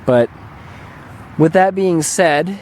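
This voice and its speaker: Slightly hoarse man vlogging outdoors. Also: fairly high pitch, extremely slow, a little mellow, a little hiss or hum. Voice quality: slightly raspy. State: mildly hoarse, recovering throat. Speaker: video channel host and gamer. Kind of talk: casual rambling vlog talk. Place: open lakeshore beach outdoors. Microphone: handheld camera held by the speaker.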